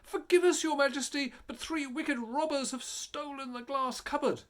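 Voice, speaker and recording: A man's voice: fairly even intonation, moderate pace, fairly bright tone. A man voicing a frightened servant pleading with a king in a fairy tale. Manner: high-pitched, pleading.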